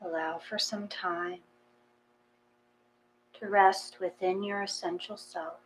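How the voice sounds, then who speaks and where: Slow-paced woman guiding a meditation. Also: lowish voice, slight background noise, poor audio. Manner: calm, measured, with long pauses between short phrases. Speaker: guided meditation reader. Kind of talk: gentle guided meditation, read from a text.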